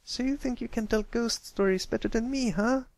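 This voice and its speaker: A man's voice: very high voice, moderate pace, dry recording, pitched above the performer's own voice. A man voicing a brash, boastful young tomboy pony filly in a storybook reading, talking defensively. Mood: challenging. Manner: loud, defensive, bragging.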